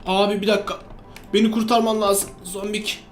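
Game host, excitable, casual play-by-play, repeated exclamations, roomy echo, music behind. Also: mid-range voice, fairly quick. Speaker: gaming video host. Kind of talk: casual co-op gameplay commentary.

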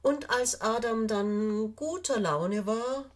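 An older woman reading a fairy tale aloud for children, with measured, expressive storytelling and deliberate pauses. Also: fairly high pitch. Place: small indoor room, seated on a sofa.

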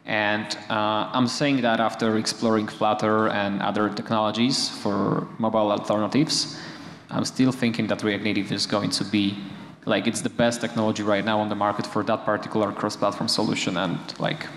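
Low flat-voiced man on a conference panel. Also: a little hiss or hum. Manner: hesitant, many fillers and restarts. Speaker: panelist, framework contributor. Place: conference stage, large hall. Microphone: handheld microphone. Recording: echoey hall with long reverb.

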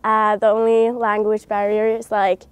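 A woman's voice: medium pitch, monotone, normal speed, clear, resonant tone, no echo. A woman in her twenties from Norway, a student, chatting in an interview outdoors and laughing easily. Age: 20s. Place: outdoor park.

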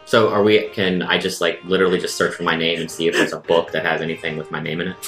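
A man with a deep voice talks quickly and casually as a player in a tabletop game. His voice rings in an echoey room over faint guitar. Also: flat delivery. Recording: echoey room, music underneath. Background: soft guitar music.

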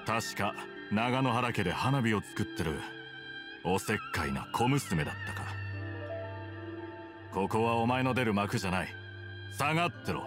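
A man playing a cold, proud, gruff samurai officer in a Japanese anime game. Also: on the low side, sing-song, normal speed, long pauses, over music.

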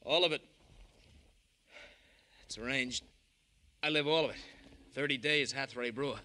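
An actor playing a mocking, menacing American killer with theatrical glee in an old-time radio drama. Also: male voice, medium pitch, normal speed, pushed and bright, natural voice. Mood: gloating. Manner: taunting, theatrical. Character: dying hoodlum killer, mocking, menacing, gleefully unhinged.

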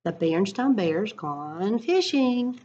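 A middle-aged woman reading a story aloud to children in a deliberate, expressive way, recorded on a computer at home. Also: medium pitch, lo-fi sound. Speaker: school educator reading to children. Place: living room at home. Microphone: computer webcam at arm's length.

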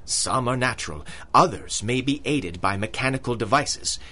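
An actor playing an arrogant, obsessive big-game hunter in a theatrical 1930s-style radio drama, with a pompous, husky delivery. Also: male voice, medium pitch, lively intonation, normal speed, pushed and bright. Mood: intent, excited.

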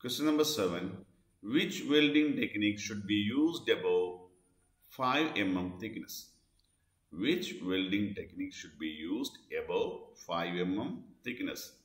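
Slow, low-voiced male instructor in echoey room. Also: lively intonation. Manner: deliberate, repeats each question and answer. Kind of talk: scripted question-and-answer lesson narration. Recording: echoey, roomy voice-over.

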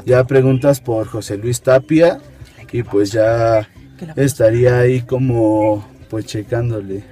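A young adult man with a Mexican accent speaks in a mellow, unforced voice. His delivery is hesitant and improvised, as in a casual phone conversation. He is recorded inside a car, with faint music behind him. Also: mid-range voice, steady tone. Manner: halting, hesitant explaining. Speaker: prank caller, video host. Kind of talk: improvised prank phone call and casual chat. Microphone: camera at arm's length.

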